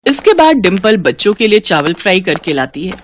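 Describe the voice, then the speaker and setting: A woman's voice: medium pitch, sing-song, a little fast. A woman telling a story as a scripted narrator.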